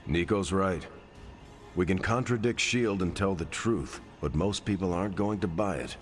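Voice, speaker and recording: A man voicing a steady soldier leader. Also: deep voice, normal speed, soft background music, natural voice. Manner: steady, commanding, level. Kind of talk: video game cutscene acting.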